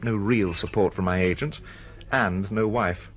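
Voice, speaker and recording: A man's voice: lowish voice, measured pace, slight background noise, lightly acted. An actor playing an insecure, self-pitying middle-aged male writer in a naturalistic radio drama. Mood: bitter, glum.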